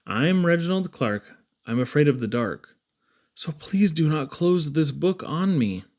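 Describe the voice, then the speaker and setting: A man voicing a timid, plaintive little boy who is afraid of the dark, in a storybook poem. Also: fairly high pitch, animated, unhurried. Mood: timid, pleading.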